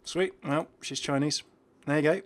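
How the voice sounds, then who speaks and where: A man's voice: fairly high pitch, fairly even intonation, normal speed, a little hiss or hum. A man hosting a gaming video with casual, unscripted commentary in a chatty, slightly hesitant way as he reacts to what he sees.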